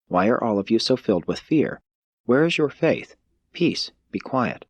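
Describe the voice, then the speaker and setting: A man voicing a calm, commanding spiritual master in an audiobook, speaking with earnest authority. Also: mid-range voice, normal speed, slightly rough, no echo, pitched above the performer's own voice. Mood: firm rebuke.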